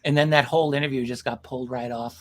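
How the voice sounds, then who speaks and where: A man with a medium-pitched voice hosts an interview in a conversational style. He phrases things thoughtfully and a little hesitantly, often pausing and doubling back on his words. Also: steady tone. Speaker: host interviewing a documentary filmmaker.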